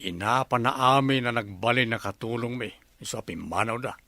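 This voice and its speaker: A man's voice: medium pitch, a little fast, pushed and bright, no echo. A man acting a genial, flirtatious master of the house, coaxing and teasing, in a comedy radio drama.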